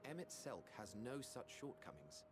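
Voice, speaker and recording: A man's voice: mid-range voice, big pitch swings, normal speed, background noise. A man playing a genial, self-effacing mage in a video game, talking in a playful, formal storyteller's manner. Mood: fond, reflective. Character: genial, self-effacing male mage, fondly admiring his friends. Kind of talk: scripted video game voice acting. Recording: music under the voice.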